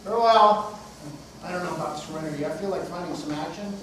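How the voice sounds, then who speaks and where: A man's voice: on the high side, fast, close to an everyday voice. A man voicing a restless, boisterous tiger out for action in an amateur puppet play. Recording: very echoey, distant, loud room noise.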